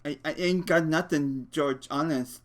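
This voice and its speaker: A man voicing a big, gentle, simple-minded man from a storybook, stammering and halting. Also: a little high, voice pushed up, lightly acted. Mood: eager, childlike. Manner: slow, pleading, halting. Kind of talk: audiobook character voice.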